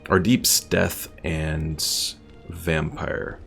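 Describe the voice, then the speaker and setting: A man's voice: extremely low pitch, little pitch movement, extremely slow, vocal fry, fairly bright tone, faint music behind. A man giving casual gameplay commentary as a streamer, musing and thinking aloud as he goes. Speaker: game streamer.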